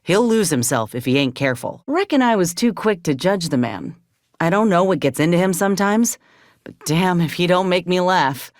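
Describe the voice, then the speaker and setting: A woman's voice: fairly low pitch, expressive, normal speed, no echo, clean studio sound, lightly acted. A woman playing an earnest, loyal frontier soldier in a video game, speaking plainly and with measure. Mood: reflective, fond. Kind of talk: video game companion dialogue.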